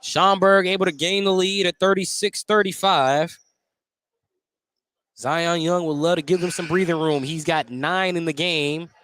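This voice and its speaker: A man calling live basketball play-by-play on the radio, getting excited on big plays. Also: on the high side, measured pace. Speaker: play-by-play announcer. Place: basketball gym at a tournament.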